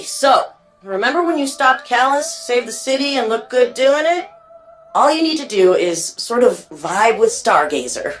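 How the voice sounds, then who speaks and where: Video game role: nerdy librarian woman played by an actress, wry, chatty, animated delivery, faint music behind. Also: a little high, lightly acted. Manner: chatty, informal, wry, geeky. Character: quirky, eager, nerdy young woman archivist and librarian. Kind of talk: video game character dialogue.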